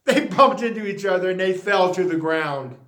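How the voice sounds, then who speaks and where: A man reading a children's picture book aloud in an animated storytelling style with emphatic, deliberate phrasing. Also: sits high. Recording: roomy, echoey sound.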